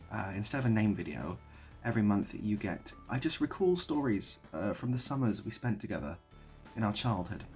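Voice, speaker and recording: A man talking casually to camera in a rambling, self-deprecating way with deadpan whimsy. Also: lowish voice, vocal fry. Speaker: video creator and host. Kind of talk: casual improvised monologue to camera.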